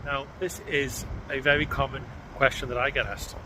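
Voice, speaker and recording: Man, from the UK, mid-pitched, conversational, halting restarts, loud outdoor noise behind. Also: flat delivery. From United Kingdom. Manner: conversational, with frequent ums and restarts. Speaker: psychologist giving advice. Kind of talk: casual advice monologue.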